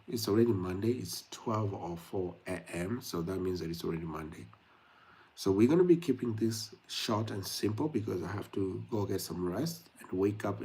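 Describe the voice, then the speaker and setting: A man's voice: lowish voice, a little mellow, low-quality recording. A young man from Kenya hosting a late-night livestream, chatting directly to his viewers with frequent fillers. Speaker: YouTube livestream host. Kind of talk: casual livestream talk to viewers.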